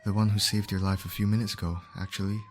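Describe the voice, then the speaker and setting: Low-voiced caring male medic, played by a man. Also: level tone, fast talker, slight background noise, lightly acted. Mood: soothing, concerned. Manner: reassuring, talking directly to an injured patient. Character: male field medic, calm, caring, urgent under pressure. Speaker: medic in a roleplay audio. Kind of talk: ASMR roleplay voice acting.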